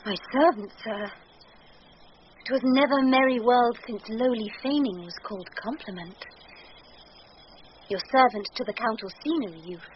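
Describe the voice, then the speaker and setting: A dull-sounding radio broadcast with faint noise. A British woman plays a proud noblewoman with a very high voice, stately but ardently in love. She speaks Shakespearean verse. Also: measured pace, pauses often, close to an everyday voice. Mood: gracious, commanding. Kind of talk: Shakespearean radio drama acting.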